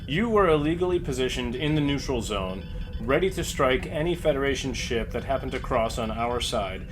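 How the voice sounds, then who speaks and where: A man with an average-pitched voice roleplays a starship captain at a tabletop game. His delivery is deliberate and pauses as he finds his words. Music plays clearly underneath. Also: lively intonation. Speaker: player acting a starship captain.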